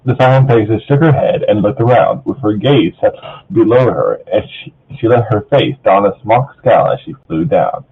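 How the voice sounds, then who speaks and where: A man's voice: fairly low pitch, animated, moderate pace, breathy edge. A man narrating a fan fiction story aloud, casually breaking off to banter with his co-reader. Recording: thin phone-like voice chat sound.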